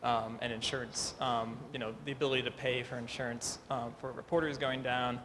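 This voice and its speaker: A man asking an informal question from the audience as a reporter, with halting, tentative phrasing. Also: mid-range voice, little pitch movement. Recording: echoey hall, clear background noise.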